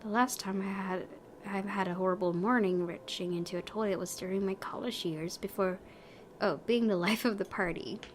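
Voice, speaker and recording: Expressive young woman, slightly high voice. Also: normal speed, slight background noise. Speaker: let's-play host. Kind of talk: reading visual novel narration with casual reactions. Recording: voice over prominent game music.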